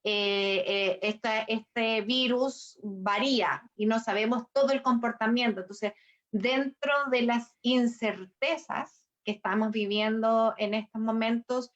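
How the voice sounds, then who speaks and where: A woman with a Chilean Spanish accent talks in a fairly high voice, stopping often to restart her sentences and fill pauses. Her audio comes through muffled and lo-fi, like a video call. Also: fairly even intonation. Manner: hesitant, many fillers and restarts. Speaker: embassy education representative. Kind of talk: casual video-call interview.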